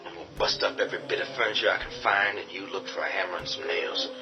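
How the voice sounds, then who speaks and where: A man's voice: sits low, quick pace, over music, natural voice. An actor playing a tough man barricading a house against the undead, talking urgently and giving orders. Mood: commanding. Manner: urgent, commanding, swings to shouting. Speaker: lead character. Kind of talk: radio drama acting.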